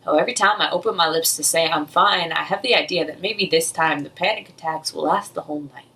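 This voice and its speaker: A young woman performs slam poetry in a slightly low-pitched voice, a little way off from the microphone. Her delivery is earnest and emotional, moving in the measured rhythm of spoken word. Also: pushed and bright. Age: young adult. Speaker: slam poet. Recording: distant, off-mic amateur recording.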